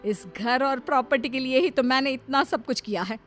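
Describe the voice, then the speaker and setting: A woman voicing a vain, scheming gold-digger mother-in-law in an animated story, theatrical and indignant. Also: high voice, a little fast, soft background music. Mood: smug. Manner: melodramatic, indignant. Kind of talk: animated Hindi story voice acting.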